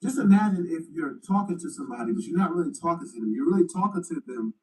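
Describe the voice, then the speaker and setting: Give me a slangy man thinking aloud, a bit high-pitched, heard from a distance. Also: lively intonation, fast. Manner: slangy, loose, repeats himself. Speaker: YouTuber. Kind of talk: casual commentary.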